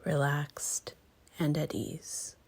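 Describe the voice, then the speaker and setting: Hushed, low-voiced woman guiding meditation. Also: little pitch movement, whispered. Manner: hushed, breathy, gentle unhurried phrasing with long pauses. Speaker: meditation guide. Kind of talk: guided meditation, slow and soothing.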